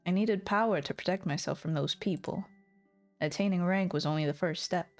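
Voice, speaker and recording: A woman voicing a weary, embittered, ambitious lord who narrates the story in a measured noir manner. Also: on the low side, faint music behind, natural voice. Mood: brooding, guarded. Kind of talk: scripted dramatic narration.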